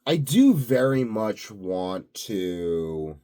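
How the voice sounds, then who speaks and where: Male vlogger, low register, big swings in intonation; self-assured, grandiose, thinking out loud in an unscripted ramble. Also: sing-song, very slow, clear, resonant tone. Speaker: self-styled health and sleep expert vlogger. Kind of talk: rambling unscripted monologue to camera.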